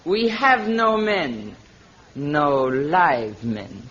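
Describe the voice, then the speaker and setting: A woman's voice: deep voice, very animated, very slow, fairly bright tone, exaggerated voice. Imperious warrior queen, muffled old recording. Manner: commanding, haughty, formal pronouncements. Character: imperious, merciless warrior queen of women's fortress. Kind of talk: vintage radio adventure serial acting. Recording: muffled old radio recording, background din.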